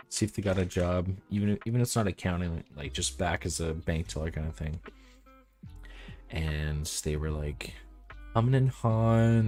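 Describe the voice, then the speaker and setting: A man in his twenties with a deep, calm voice, chatting casually on a stream and rambling with lots of "like". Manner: laid-back, rambling, heavy use of "like". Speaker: game developer streaming.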